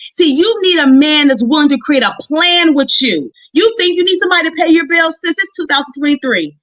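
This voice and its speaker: A woman's voice: high voice, animated, brisk, fairly bright tone, no room sound, muffled recording. A woman giving a motivational sermon on a live stream, talking directly and conversationally to women in a folksy, emphatic way and repeating phrases for effect. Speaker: guest preacher and motivational Bible teacher.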